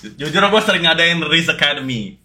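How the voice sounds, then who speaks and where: Young man with a bright, lively voice. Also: fairly high pitch, a little hiss or hum. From grew up in the US, New York. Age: young adult. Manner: teasing, quick banter, addresses chat. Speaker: streamer. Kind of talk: casual stream chat, mixing Indonesian and English slang.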